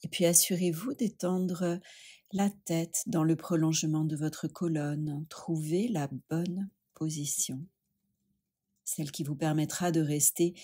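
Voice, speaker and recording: A woman leading a guided yoga nidra meditation, speaking gently and soothingly with long pauses. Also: low-pitched, breathy edge.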